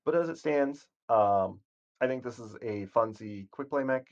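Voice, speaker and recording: A man giving casual, rambling commentary on a game stream, with a calm, soothing voice and frequent self-corrections. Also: medium pitch, normal speed, lo-fi sound. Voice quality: soothing, sleep-inducing voice.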